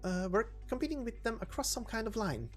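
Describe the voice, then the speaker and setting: A woman plays a cheeky young female covert helper in a game story, pitched high and swinging freely in tone. She talks in breezy slang and trips casually over bigger words. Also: very animated, a little fast, soft background music. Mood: thinking aloud. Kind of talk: voiced game story reading.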